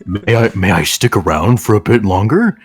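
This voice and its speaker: A man's voice: on the low side, animated, normal speed, husky. A man voicing a courteous golden animatronic lion with formal, polite manners in a comic fan fiction. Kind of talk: comedic fan fiction read-aloud.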